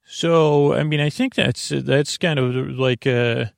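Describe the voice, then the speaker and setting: A man hosting a bedtime podcast with a meandering, off-topic monologue, halting and often restarting his sentences as he trails off. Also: a little high, creaky, no echo, clean studio sound. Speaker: podcast host.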